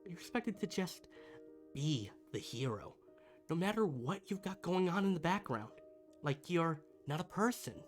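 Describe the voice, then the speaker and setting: Man acting a theatrical, high-pitched, soft-hearted supervillain. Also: lively intonation. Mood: tender, sincere. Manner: dramatic, earnest, halting. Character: theatrical, needy but kind-hearted male supervillain. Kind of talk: roleplay ASMR monologue to the listener. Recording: music behind the voice. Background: background music.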